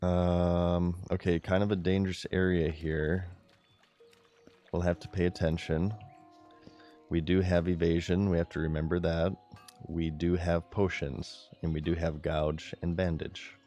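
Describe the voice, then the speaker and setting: A man's voice: low voice, little pitch movement, unhurried, mellow, soft background music. A man streaming a video game, chatting casually to his viewers in a giggly, laid-back way.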